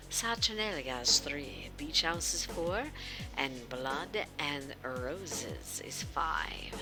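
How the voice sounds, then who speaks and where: A woman hosting a livestream in casual, informal chat, addressing viewers directly and often asking them questions. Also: deep voice. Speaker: livestream host. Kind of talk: casual interactive game stream chat.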